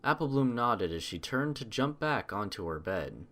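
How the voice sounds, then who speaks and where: A man's voice: on the low side, big pitch swings, moderate pace, a bit bright. A man reading scripted audiobook narration as the storyteller.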